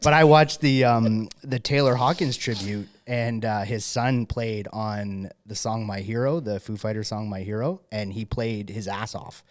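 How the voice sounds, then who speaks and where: A man co-hosting a podcast in casual chat, halting and restarting as he talks. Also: lowish voice, no room sound. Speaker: podcast co-host.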